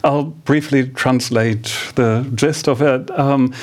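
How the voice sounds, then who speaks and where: A man's voice: fairly even intonation, slow, darkish tone. A man whose first language is German, hosting a radio interview and asking hesitant questions. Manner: hesitant questions, rising pitch. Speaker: radio host.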